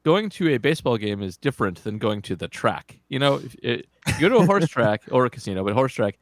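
A man's voice: medium pitch, very animated, fairly quick, no room sound. A man co-hosting a casual sports podcast, speaking in a dry, wry, grumbling way. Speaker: podcast co-host.

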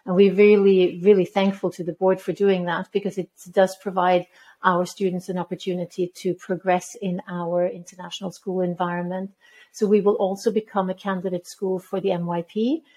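A woman in her fifties from Norway, a head of school answering interview questions in a measured way, with frequent ums and restarts. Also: fairly low pitch, flat delivery, mellow.

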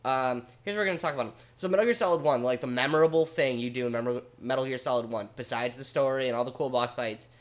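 A man co-hosting a casual podcast, explaining at length in an animated, rambling way with casual swearing. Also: mid-range voice, quick pace, few pauses. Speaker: podcast co-host. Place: home basement studio.

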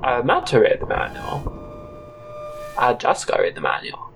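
Male narrator for comic audio fiction, average pitch, awkward and digressive, talking as if thinking aloud. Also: wildly swooping pitch, normal speed, creaky, fairly bright tone, faint music behind. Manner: rambling, awkward, conversational. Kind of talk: comic first-person scripted narration.

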